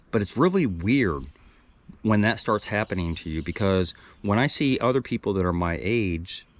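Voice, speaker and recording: A man in his sixties talks in a very low, deep voice. He muses aloud in a loose, rambling way, circling back and correcting himself as he shares his thoughts with viewers. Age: 60s. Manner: rambling, thoughtful, with restarts and trailing asides. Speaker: video blogger. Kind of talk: reflective casual monologue.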